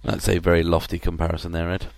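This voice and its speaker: A man with a cold and a husky voice, hosting a casual podcast chat with many hesitant fillers. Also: deep voice, completely flat delivery, fast. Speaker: podcast host.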